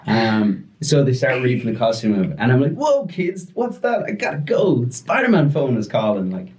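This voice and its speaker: A young man telling a story casually on a podcast, animated and breaking into voices as he retells it. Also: medium pitch, normal speed, slight background noise, poor audio. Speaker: podcast guest.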